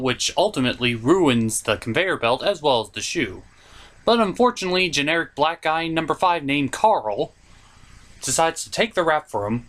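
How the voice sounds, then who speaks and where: Male comedic reviewer, lively swinging pitch, recaps a film with sarcastic, incredulous asides. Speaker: host of a movie review puppet show.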